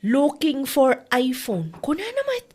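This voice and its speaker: Indignant village aunt actress, high pitch, Filipino Ilocano accent, comedy radio play. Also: female voice, wildly swooping pitch, takes it slowly, a little mellow, exaggerated voice. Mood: disappointed. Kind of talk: comedy drama podcast acting.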